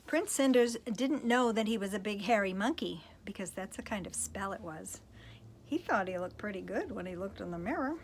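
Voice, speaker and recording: A woman's voice: mid-range voice, lively intonation, normal speed, slight background noise. Playful woman reading a picture book. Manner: playful, chuckling, asides to listeners. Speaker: storytime reader, teacher.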